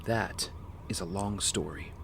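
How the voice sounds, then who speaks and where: A man's voice: deep voice, a little slow, vocal fry, fairly bright tone, a little hiss or hum, heavily acted. A young man playing a rich playboy with a secret heroic life in an audio drama. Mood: weary, earnest.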